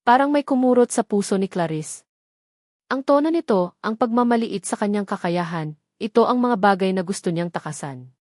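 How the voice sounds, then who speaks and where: A woman's voice: mid-range voice, animated, moderate pace, a little mellow, dry recording, studio quality. Woman narrating a dramatic romance. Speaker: story narrator.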